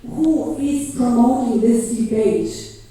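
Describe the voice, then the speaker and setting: A woman's voice: a little high, takes it slowly, mellow. A woman from Germany asking a rambling, earnest question from the floor at a public debate, with many fillers. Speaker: audience member asking a question. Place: large university auditorium. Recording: echoey hall, voice from far off.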